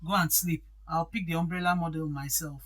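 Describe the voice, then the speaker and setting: A woman's voice: sits low, fairly even intonation, a little fast, fairly bright tone. An actress playing a domineering, impatient middle-aged stepmother in an audio drama, stern and admonishing. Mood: exasperated.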